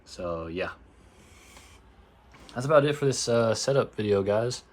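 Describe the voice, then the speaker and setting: A young man, hoarse, talking casually and swearing as he shows his gaming setup in a phone-recorded vlog. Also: fairly low pitch, lively intonation, a little slow, darkish tone. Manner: casual, swears often, lots of fillers. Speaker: gaming vlogger. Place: bedroom at home. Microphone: phone camera.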